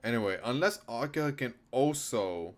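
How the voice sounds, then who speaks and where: A man's voice: medium pitch, animated, normal speed. A man streaming a game, switching between reading story narration aloud and commenting casually. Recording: clear background noise, rainy ambience. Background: noise, rain during a stream.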